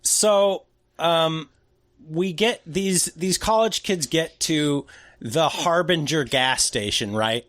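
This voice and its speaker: A man in his thirties co-hosting a casual podcast chat, excitable and animated, with gasps and quick asides. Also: on the high side, dry recording, clean studio sound. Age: 30s. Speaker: podcast co-host.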